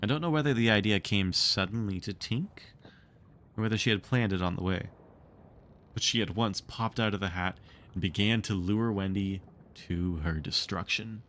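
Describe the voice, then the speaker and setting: Low-voiced man reading a storybook. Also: moderate pace. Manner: expressive, theatrical storytelling. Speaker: streamer reading a book aloud. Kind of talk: storybook read-along narration.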